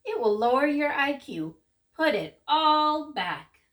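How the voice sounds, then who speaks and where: A woman's voice: sits high, big pitch swings, slow, a bit bright, pitched above the performer's own voice, heavily acted. A woman voicing an exasperated, strict but loving father in a children's story, with emphatic, scolding delivery.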